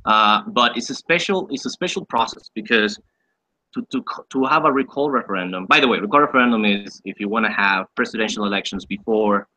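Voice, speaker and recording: A man's voice: fairly low pitch, fairly quick, bright, forceful tone, rough recording. A man in his twenties from Venezuela, a guest on an interview podcast, explaining at length with frequent fillers and repeated words. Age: 20s. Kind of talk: casual interview.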